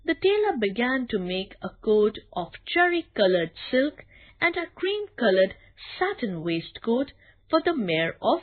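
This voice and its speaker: A woman narrating a scripted children's bedtime story in a deliberate, expressive way. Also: on the high side, narrow, tinny audio.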